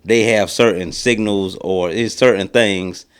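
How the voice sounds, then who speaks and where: A young man with a deep, low voice, speaking in a casual podcast chat. He rambles through his stories at an easy pace and stutters now and then. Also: steady tone, a little slow, clean tone. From rural American South. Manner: laid-back, rambling storytelling with a mild stutter. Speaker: podcast co-host.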